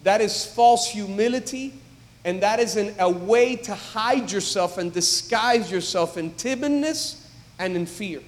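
A man, a pastor, preaching a sermon in a church, building from calm talk to emphatic, loud exhortation. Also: high-pitched, unhurried. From United States. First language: English. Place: church sanctuary. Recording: long reverb of a large hall.

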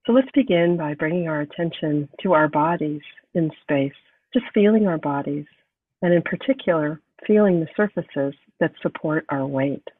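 A woman hosting a podcast, calm and unhurried as she guides a meditation, sounding nasal from a cold. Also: deep voice, no room sound. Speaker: podcast host. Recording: thin phone-like sound, distant from mic.

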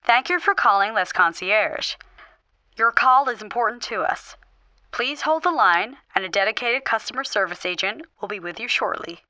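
An actress playing a prim, formal woman who answers the phone with crisp, scripted politeness. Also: on the high side, expressive, moderate pace, bright, forceful tone. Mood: automated. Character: prim formal female phone greeter and boss. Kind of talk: comedy sketch acting.

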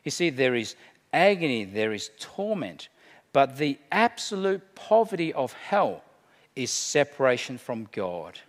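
A middle-aged man preaching a sermon, emphatic, swinging between hushed and loud delivery. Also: mid-range voice, big pitch swings, unhurried. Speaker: pastor. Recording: long hall reverb.

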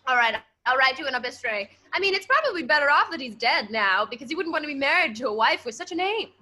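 A woman's voice: high voice, expressive, fast, pushed and bright. A woman improvising a blunt, haughty newspaper writer with a cutting manner in a comedy skit.

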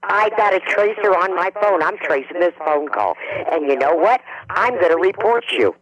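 A man voicing a hostile old woman on a phone call who curses and threatens in drawn-out words. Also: high-pitched, animated, crowd noise.

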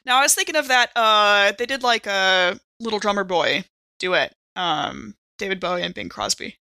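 A woman's voice: medium pitch, normal speed, creaky, pushed and bright, no echo. A woman chatting casually as a player on a tabletop game podcast, in a playful, rambling way. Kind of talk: casual banter.

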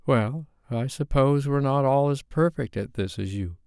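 A man's voice: mid-range voice, unhurried, a little mellow, smooth, dry recording, clean studio sound, voice pushed up, lightly acted. A man voicing an exasperated, defensive woman in an audiobook. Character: exasperated, hurt young woman, defensive with her boyfriend.

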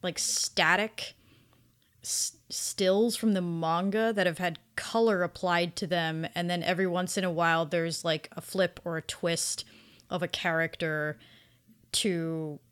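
A woman's voice: on the low side, fairly even intonation, unhurried, a bit bright, smooth. A woman co-hosting a podcast, talking casually and emphatically as she gives enthusiastic reviews. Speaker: podcast co-host reviewing anime.

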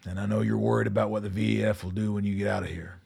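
An actor playing a weary middle-aged colonel in a radio drama, measured and commanding. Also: male voice, fairly low pitch, little pitch movement, a little mellow, full character voice. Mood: firm, reassuring. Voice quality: gravelly, husky. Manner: measured, authoritative.

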